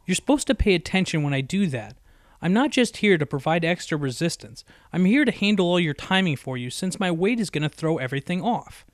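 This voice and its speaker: Guy doing a nerdy unicorn girl in a story reading, bit snippy, explains things precisely, highish voice. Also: big pitch swings, a little fast, no room sound, clean studio sound. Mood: annoyed, lecturing. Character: bookish, exasperated young female unicorn pony. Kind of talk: acted audiobook dialogue.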